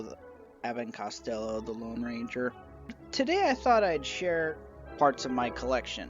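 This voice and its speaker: Young adult man, mid-range voice, measured scripted show-and-tell narrator, light music underneath. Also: mid-range voice, dull audio. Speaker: collector reviewing a radio show collection.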